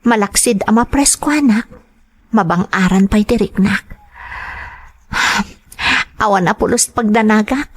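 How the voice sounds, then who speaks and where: A woman's voice: medium pitch, measured pace, long pauses, husky. A woman with an Ilocano accent playing a trusting, anxious young female rebel recruit in a radio drama. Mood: hesitant, relieved. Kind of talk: Filipino radio drama acting.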